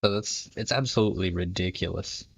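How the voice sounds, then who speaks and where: Gravelly deep-voiced man, lo-fi mic. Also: brisk, fairly bright tone, a little hiss or hum. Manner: blunt, dismissive, laughs at the clip. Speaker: streamer commenting on a clip. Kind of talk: casual commentary. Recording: dull lo-fi dry mic.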